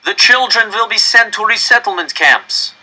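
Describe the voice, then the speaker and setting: A man voicing a desperate male council director in a story, addressing an angry crowd with loud, pleading oratory. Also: sits high, expressive, normal speed, voice pushed up, full character voice, low-quality recording. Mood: desperate, roaring.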